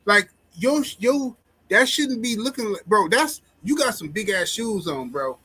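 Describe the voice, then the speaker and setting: A man's voice: high-pitched, very animated, unhurried, bright, forceful tone. A male host running a casual show who teases his guest and keeps the jokes coming. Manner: teasing, jokey.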